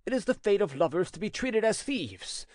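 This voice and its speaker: A man's voice: high-pitched, very animated, moderate pace, darkish tone, no room sound, studio quality, pitched above the performer's own voice. A man voicing an insolent young prince in a storybook, with an easy, assured, florid way of talking. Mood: insolent ease.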